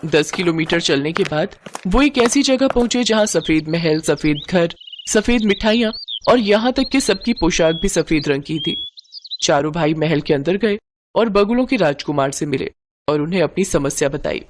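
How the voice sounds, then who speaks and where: A woman narrating a folk tale in a dramatic, expressive storytelling style. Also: on the low side, moderate pace, background noise.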